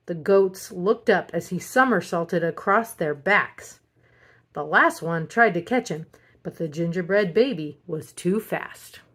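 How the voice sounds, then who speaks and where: A woman reading a picture book aloud as a storyteller, in an expressive children's narration style. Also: lowish voice, measured pace, breathy edge. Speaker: storybook reader.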